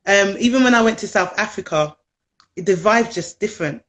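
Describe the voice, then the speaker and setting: A woman's voice: mid-range voice, moderate pace, fairly bright tone, rough recording. A young British-born woman calling in as a viewer, talking earnestly in a casual live chat over a video call. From England. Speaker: viewer guest.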